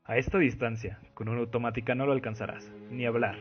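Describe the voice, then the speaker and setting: A man's voice: mid-range voice, steady tone, fast, lightly acted. A man playing a dismissive trench soldier in a radio play. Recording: dull lo-fi, music behind.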